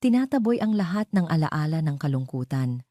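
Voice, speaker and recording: Low-voiced woman narrating a romance story. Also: big pitch swings, fairly quick, dark tone, no echo, studio quality.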